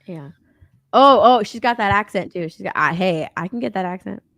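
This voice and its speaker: A woman's voice: mid-range voice, animated, brisk, vocal fry, a bit bright, no echo. A woman from Texas co-hosting a casual stream chat, rambling, laughing often and trailing off mid-sentence. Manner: rambling, giggly, trails off and restarts. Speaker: co-host.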